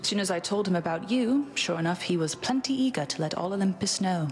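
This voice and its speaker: Actress as teasing huntress goddess, video game ally, confident, wry, casual phrasing, faint music. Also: female voice, fairly low pitch, lively intonation, fairly quick, a little mellow, lightly acted.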